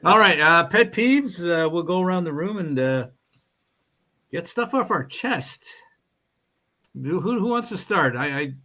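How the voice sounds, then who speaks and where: A man's voice: high-pitched, expressive, unhurried, pauses often, sharp tone. A man hosting a podcast in casual chat, laid-back as he leads the conversation into segments. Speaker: podcast host.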